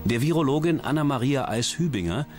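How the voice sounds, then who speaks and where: A man reading scripted documentary narration about science and crime in a measured, dramatic, clearly enunciated way. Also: mid-range voice, lively intonation. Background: music.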